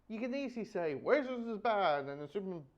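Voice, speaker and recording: Halting man reviewer, dull lo-fi sound. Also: sits high, big pitch swings. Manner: halting, false starts, repeats himself. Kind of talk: casual rambling review.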